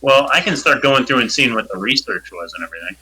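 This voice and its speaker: A man's voice: medium pitch, lively intonation, fast talker, crowd noise, low-quality recording. A man playing a tabletop role-playing game, rambling as he explains what his character does. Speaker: player.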